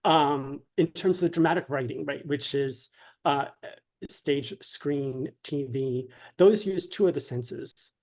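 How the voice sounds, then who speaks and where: A man speaking as an interview guest, explaining his craft conversationally in a halting way, often checking with a "right?". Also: on the high side, a little mellow.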